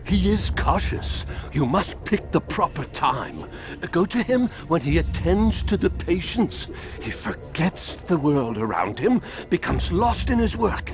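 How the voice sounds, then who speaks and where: High-pitched, terrified cowardly informant. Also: male voice, sing-song, measured pace, heavily acted. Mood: fearful, urgent. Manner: stammering, pleading. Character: terrified, cowardly male town preacher turned informant. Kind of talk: dramatic video game acting. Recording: loud music behind the voice.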